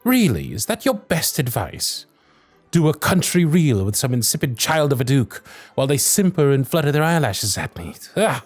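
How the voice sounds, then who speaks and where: A man's voice: mid-range voice, big pitch swings, normal speed, no room sound, faint music behind. A man playing a wry Victorian lord. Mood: exasperated. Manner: formal period speech, dry and sardonic. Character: young Victorian male lord, wry, proud, teasing. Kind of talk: ASMR roleplay audio drama.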